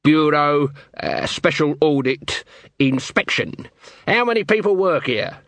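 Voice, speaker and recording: A man's voice: on the high side, lively intonation, fairly bright tone, no echo. A man doing a sly, brash little watchman. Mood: blustering. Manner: brash, fast-talking, bluffing. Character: scrawny sly swaggering male watchman.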